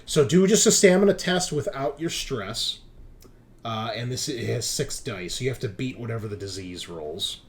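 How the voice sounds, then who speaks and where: A man running a tabletop roleplaying game as game master, narrating action casually with animated, drawn-out dramatic emphasis. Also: mid-range voice, noisy background. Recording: music behind the voice.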